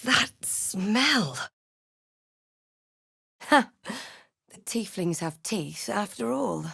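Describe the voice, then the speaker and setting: Sardonic fantasy adventurer, close and dry. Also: female voice, medium pitch, animated, very slow, gravelly, a bit bright, husky, no room sound, heavily acted. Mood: wary, curious, then dry, scornful. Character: snarky, proud adult female fantasy adventurer spellcaster. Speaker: video game voice actor. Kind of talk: scripted game character lines and narration.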